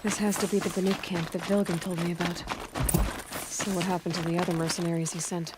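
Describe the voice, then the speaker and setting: An actress playing a capable, inquisitive young hunter heroine in a video game, matter-of-fact and questioning. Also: female voice, lowish voice, flat delivery, quick pace, very noisy, lightly acted. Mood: focused, curious.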